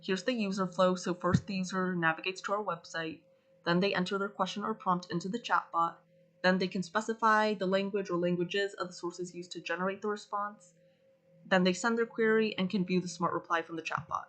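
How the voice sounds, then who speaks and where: A female student presenting her group's solution, going through the features in an even, methodical way. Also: mid-range voice, brisk. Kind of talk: class project presentation.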